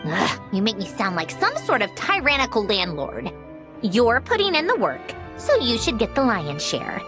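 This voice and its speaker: An aloof young female researcher in a video game. Her delivery is dry, haughty and deadpan, with a sardonic edge. Music plays behind her. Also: a little high, moderate pace. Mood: grudging, sardonic. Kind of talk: video game character acting.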